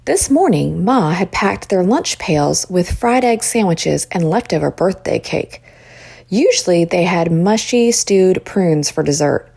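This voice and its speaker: A woman reading a children's story aloud as an expressive narrator. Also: fairly low pitch, measured pace, gravelly, breathy edge. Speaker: storybook reader.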